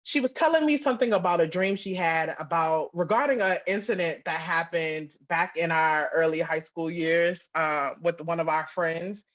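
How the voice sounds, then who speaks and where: A woman's voice: on the low side, normal speed, hardly any pauses, lo-fi sound. A woman giving casual, candid interview answers as a guest author, chatty and emphatic. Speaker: guest, author and audio drama writer-producer.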